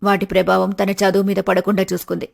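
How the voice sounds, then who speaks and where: A woman's voice: medium pitch, completely flat delivery, fast talker, creaky, pitched below the performer's own voice. A woman voicing a polite, agreeable middle-aged parent of a bride in a family story, respectful and eager. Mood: proud, polite.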